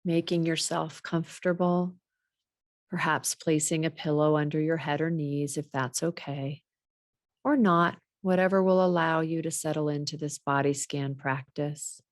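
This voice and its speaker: A woman guiding a body scan meditation in a measured, gently instructional way. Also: low-pitched, fairly even intonation, no echo, clean studio sound. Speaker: meditation teacher.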